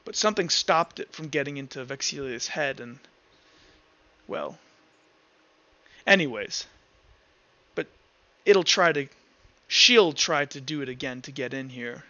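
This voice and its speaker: Man acting brooding, halting fantasy villain. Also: medium pitch, animated, sharp tone, muffled recording, full character voice. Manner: halting, sighing, deliberate dramatic pauses. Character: brooding male villain of a dream world, coldly menacing, world-weary.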